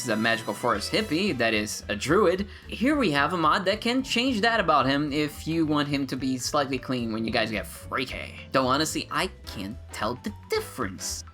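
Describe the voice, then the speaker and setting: A woman's voice: low-pitched, a bit bright. A woman giving casual, sarcastic commentary on game mods, with playful mock-dramatic rants. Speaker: gaming video creator.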